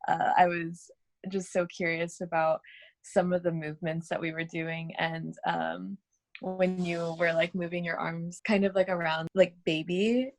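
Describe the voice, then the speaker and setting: A woman's voice: on the low side, little pitch movement, a little slow. A woman textile artist and dancer chatting on a video call, enthusiastic and full of fillers. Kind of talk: casual video call chat.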